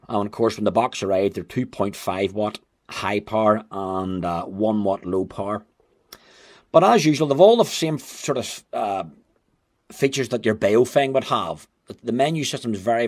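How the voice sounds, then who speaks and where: A man's voice: lowish voice, moderate pace. A man giving a casual, chatty and wry hands-on gadget review. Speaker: amateur radio gadget reviewer. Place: indoors at home.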